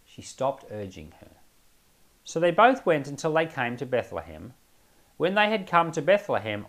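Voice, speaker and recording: A man speaking as a pastor, reading scripture aloud and explaining it informally, with expressive and emphatic phrasing. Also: fairly high pitch.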